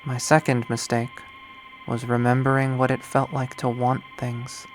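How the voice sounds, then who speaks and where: A lovesick, obsessive young woman who has turned to killing speaks in a hushed, brooding monologue, as a man reads her lines aloud. Her pitch sits in a mid range, and she takes her time, letting each line hang slowly. This is a dramatic first-person visual novel readthrough. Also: little pitch movement, faint music behind, lightly acted.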